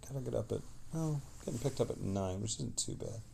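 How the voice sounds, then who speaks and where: A middle-aged man who sounds tired, chatting casually on a livestream in a rambling, halting way and trailing off mid-sentence. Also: medium pitch, animated, gravelly, warm tone, slight background noise.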